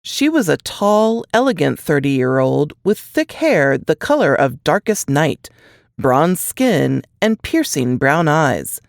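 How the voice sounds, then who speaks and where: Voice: medium pitch, sing-song, takes it slowly, vocal fry, dry recording, clean studio sound. A narrator reading a suspense novel aloud in scripted audiobook style.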